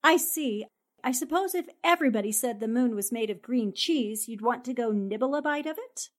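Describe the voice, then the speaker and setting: An actress playing a fearless, sharp-tongued 1940s newspaperwoman in a radio drama, brisk and commanding. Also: a little high, lively intonation, lightly acted. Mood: cool, sarcastic.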